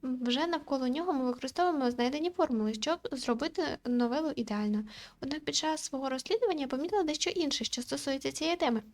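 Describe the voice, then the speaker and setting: A woman's voice: high voice, quick pace. A woman voicing a cheerful, naive anime girl from a visual novel, explaining things in an earnest, theatrical manner.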